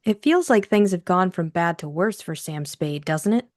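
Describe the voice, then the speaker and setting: A woman's voice: fairly low pitch, expressive, moderate pace, no echo, studio quality. A woman reading a scripted introduction and novel narration as co-host and narrator.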